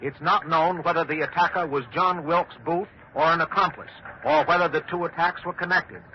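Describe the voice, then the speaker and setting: A man playing an earnest studio newsman in a 1940s radio drama, delivering bulletins gravely and emphatically. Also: fairly high pitch, fairly even intonation, a little fast, lightly acted. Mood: flustered, grave. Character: earnest male studio newsman handling breaking news. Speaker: radio news anchor. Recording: muffled, hissy 1940s radio recording.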